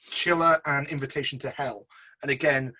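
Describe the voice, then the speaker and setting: A man hosts a laid-back film review conversation. His voice sits at an average pitch with a slight husk to it. He talks like a keen mate, full of slang and enthusiasm. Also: fairly even intonation, moderate pace, sharp tone, poor audio. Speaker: film review show host. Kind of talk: casual film review chat.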